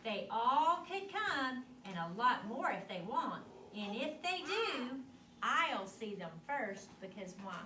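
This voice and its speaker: An expressive woman reads a picture book to young children in a teacherly way, prompting them with questions. Her delivery swings widely in pitch. The recording is echoey and distant, with clear noise behind her. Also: takes it slowly. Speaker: storyteller reading to children. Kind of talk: animated picture-book read-aloud with questions to young listeners. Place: echoey indoor room at a school literacy event. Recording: roomy, distant mic with clear background noise.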